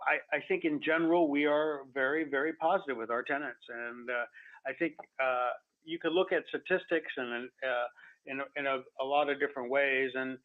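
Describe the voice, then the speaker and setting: A man answering analyst questions as a company executive on a call, speaking off the cuff in a halting, stammering way. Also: mid-range voice. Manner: halting, stammering, heavy hesitation. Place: telephone conference call. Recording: muffled narrow phone-line audio.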